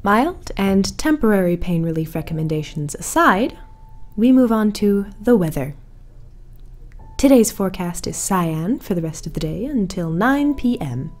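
A woman playing an eerie, gracious small-town radio host, reading horror-comedy news in a measured, ominous deadpan. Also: mid-range voice. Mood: ominously calm. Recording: music bed under the voice. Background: music.

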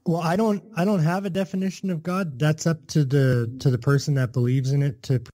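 Canadian male guest, mellow tone, earnest, restarts a lot, dry close mic. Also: fairly high pitch, fairly even intonation, a little fast, no echo. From Canada, Thunder Bay. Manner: earnest, restarts and repeats words while explaining. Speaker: podcast guest. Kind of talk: casual interview conversation.

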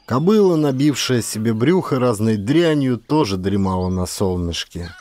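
A man's voice: mid-range voice, animated, moderate pace, a little mellow. A man narrating a literary story in a dramatic, scripted style. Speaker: audiobook narrator, actor.

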